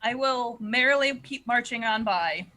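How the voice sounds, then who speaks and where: A woman's voice: a little high, normal speed, sharp tone. A woman playing a tabletop roleplaying game, bantering casually as a player.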